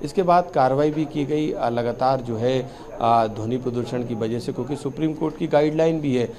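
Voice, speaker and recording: A man giving an unscripted live news report in a halting way with many fillers. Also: medium pitch, warm tone.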